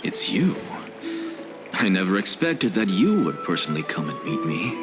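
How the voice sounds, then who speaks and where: A haughty scholar lord from a fantasy game, with a low voice that swings expressively. He is formal and theatrical, coolly sure of himself, with music playing underneath. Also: very animated, moderate pace. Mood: haughty defiance. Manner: eloquent, arrogant, formal, theatrical. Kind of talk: dramatic fantasy video game acting.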